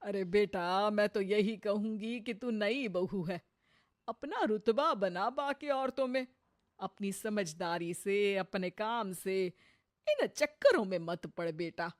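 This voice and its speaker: A woman voicing a respectable, cautious older mother-in-law who gently admonishes in a cartoon moral story. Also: mid-range voice, animated, slow pace, no room sound, studio quality. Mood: cautioning. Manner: gently admonishing, resigned counsel.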